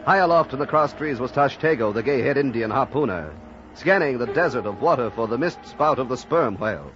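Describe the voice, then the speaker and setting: Actor as solemn sailor narrating, music behind. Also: male voice, mid-range voice, expressive, fairly quick, close to an everyday voice. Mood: solemn, suspenseful. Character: whaling sailor narrating a sea tale, solemn. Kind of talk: dramatic scripted narration in old-time radio. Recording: dull old radio recording, music behind.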